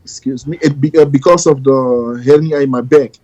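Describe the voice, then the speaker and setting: A man raised in the Netherlands, talking as a bodybuilding guest in a halting way, full of "you know". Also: mid-range voice, fairly even intonation, darkish tone, dry recording. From Ghana, raised in the Netherlands. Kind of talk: casual interview chat.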